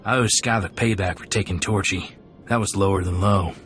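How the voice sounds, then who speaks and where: An actor playing an earnest, vengeful companion fighter in a video game, plainspoken and firm. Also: male voice, sits low, fairly even intonation, brisk, a bit bright, faint music behind. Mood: grim resolve.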